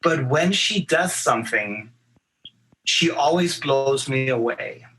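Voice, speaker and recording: A man with a bright, forward voice and a middling pitch, speaking as a guest actor on a podcast. He tells his stories casually and thoughtfully, often pausing to find the next phrase. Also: lively intonation, slightly rough, poor audio. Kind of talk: casual podcast interview, recounting experiences.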